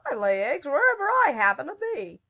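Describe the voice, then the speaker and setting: Shrill, sassy storybook hen. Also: female voice, big pitch swings, brisk, lo-fi sound. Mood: proud. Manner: shrill, huffy, defiant. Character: proud, sassy, indignant female yellow hen.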